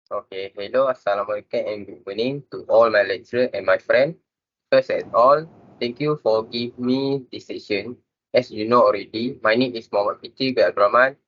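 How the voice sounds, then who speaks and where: A man's voice: on the low side, fairly quick, dry recording, dull audio. A man, giving a formal, polite self-introduction. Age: 20s. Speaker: student presenter.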